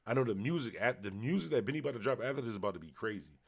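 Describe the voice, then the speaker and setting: A man from Buffalo with a Western New York accent, a little tipsy, rambling loosely as he hosts a late-night livestream and shouts out viewers. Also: mid-range voice, lively intonation, very fast, vocal fry. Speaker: livestream host. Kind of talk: casual livestream chat reading comments.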